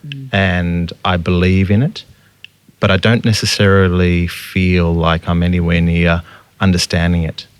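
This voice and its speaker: A man's voice: low-pitched, steady tone, a little slow, clean tone, no room sound. A man, a photographer and teacher, talking thoughtfully in an interview and searching for his words. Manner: thoughtful, searching, with frequent restarts. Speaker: photographer and educator. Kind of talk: reflective interview conversation.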